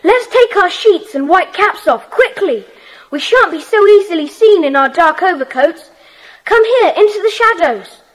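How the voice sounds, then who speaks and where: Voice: extremely high pitch, lively intonation, moderate pace. A performer playing a brave, kind young schoolboy who leads a secret club in a children's audio drama, earnest and eager. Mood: urgent. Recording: slightly noisy cassette.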